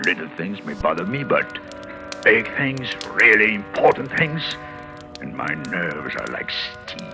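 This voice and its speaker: A man's voice: deep voice, gravelly, heavily acted. An actor playing a scheming, self-assured husband in a 1940s radio thriller, theatrical and intense, confessing his own crime. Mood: cold, smug. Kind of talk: 1940s radio thriller acting, first-person confessional narration. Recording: old radio recording with dramatic music.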